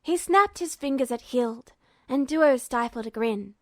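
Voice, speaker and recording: High-voiced woman narrating a story. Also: lively intonation, a little slow, a little mellow, no echo. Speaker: fan fiction reader.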